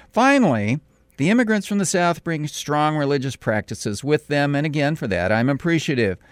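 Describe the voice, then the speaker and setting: Middle-aged male pastor, commentary read, animated, dry close mic. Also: no echo. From California. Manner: deliberate, emphatic, with sudden pitch rises on key points. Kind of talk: scripted radio commentary.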